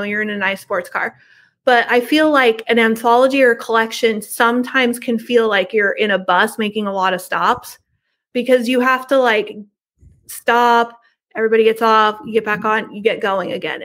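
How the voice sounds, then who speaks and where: Higher-voiced middle-aged woman chatting. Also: fairly bright tone. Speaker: podcast guest. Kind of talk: casual chat over video call. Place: living room at home.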